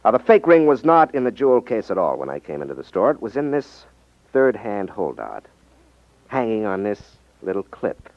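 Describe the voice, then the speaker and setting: A dull, dry old radio recording of a male detective from a fifties crime drama. He is low-voiced and very animated, a sharp, self-assured ex-magician with a wry way of explaining. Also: moderate pace, no room sound. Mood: showing off. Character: ex-magician turned rackets detective, confident, sharp, wry man.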